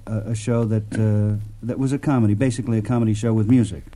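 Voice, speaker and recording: A man's voice: lowish voice, steady tone, fast, dark tone. A man hosting a radio interview, asking casual follow-up questions.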